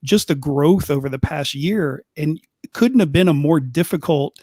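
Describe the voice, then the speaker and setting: A man's voice: on the high side, normal speed, warm tone, no room sound, studio quality. A middle-aged man, a longtime sports reporter on a podcast panel, talking casually with lots of restarts. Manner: rambling, many restarts and fillers. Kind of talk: casual chat.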